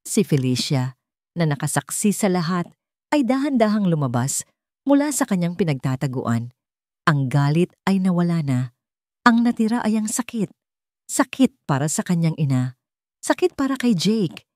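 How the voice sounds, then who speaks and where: A woman telling a dramatic love story in a slow, solemn storytelling manner. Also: on the low side, very animated, darkish tone, no echo, clean studio sound. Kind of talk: scripted dramatic narration.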